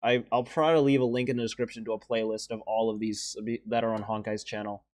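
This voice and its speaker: Young man, deeper voice, earnest emotional commentary, rambling, dry close mic. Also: little pitch movement, fast, darkish tone, no echo. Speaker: video creator ranking animated shorts. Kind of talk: casual commentary.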